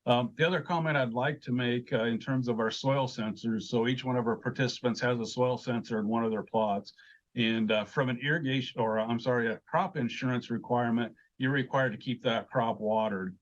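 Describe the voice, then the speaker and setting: A man giving measured, hesitant explanations as an agricultural expert in an informal interview. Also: mid-range voice, fairly even intonation, muffled recording.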